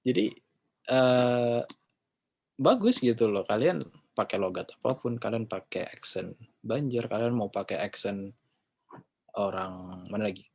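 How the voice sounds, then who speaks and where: A man's voice: lowish voice, a little slow, long pauses, darkish tone. A man giving a casual class talk, rambling and often correcting himself with chatty fillers. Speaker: English class speaker.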